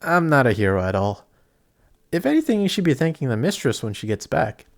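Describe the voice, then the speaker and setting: A young man playing a kindhearted apprentice sorcerer in an audio roleplay, chatty, excitable and easily flustered, with some stammering. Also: medium pitch, fairly quick, creaky. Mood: friendly, proud. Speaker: voice actor. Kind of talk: amateur audio roleplay acting addressed to the listener.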